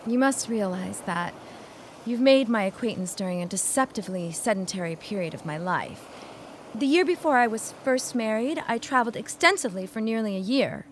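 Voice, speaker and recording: An actress playing a refined young widow of 1916 in a period audio drama, speaking in genteel, formal, old-fashioned phrases. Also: female voice, mid-range voice, animated, a little fast, natural voice. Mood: wistful. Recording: clear background ambience noise.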